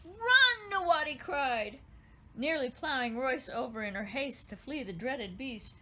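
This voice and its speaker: A woman reading her own children's story aloud in an expressive, lively storytelling manner. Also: high-pitched, a little slow.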